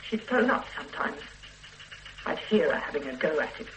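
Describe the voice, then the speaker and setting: A woman's voice: deep voice, big pitch swings, moderate pace, reverberant, distant mic, a little hiss or hum, low-quality recording. An actress playing a loyal female secretary in a radio drama, guarded and prim, defending her employer under questioning. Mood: defensive. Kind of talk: 1970s-80s radio drama acting.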